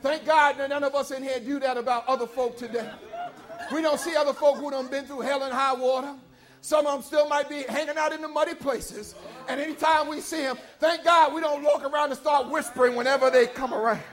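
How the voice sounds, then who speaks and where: High-voiced man preaching with rising fervor. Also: steady tone, fairly quick. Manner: rising into shouted, rhythmic preaching. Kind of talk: sermon.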